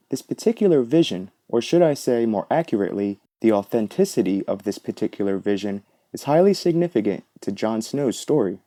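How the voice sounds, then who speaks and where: A man's voice: mid-range voice, warm tone. A man hosting a fan book-analysis video, explaining the story in an earnest manner.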